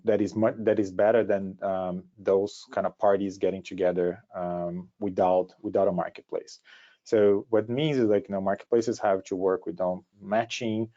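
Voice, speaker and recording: A man with a Brazilian accent presenting an informal webinar in a halting way, often repeating words as he goes. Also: fairly low pitch, dark tone. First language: Portuguese. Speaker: startup co-founder giving a presentation. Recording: dull, lo-fi online call audio.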